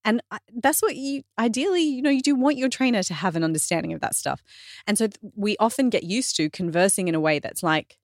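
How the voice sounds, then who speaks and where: A woman guesting on a podcast, a fitness trainer talking in an animated, earnest way with lots of "like" and self-corrections. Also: medium pitch, quick pace, no echo, studio quality. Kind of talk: casual podcast conversation.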